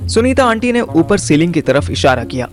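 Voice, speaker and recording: A man's voice: fairly high pitch, big pitch swings, a little fast, faint music behind. A man narrating an audio story in the first person, colloquial and dramatic, mixing in slang. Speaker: narrator and host.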